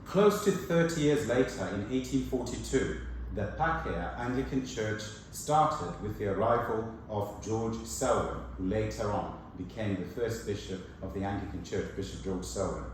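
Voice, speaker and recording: A man whose first language is Shona, giving a sermon as a preacher, measured and earnest, with slow emphatic phrases. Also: lowish voice, animated, slight background noise. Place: church hall. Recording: echoey church from far off.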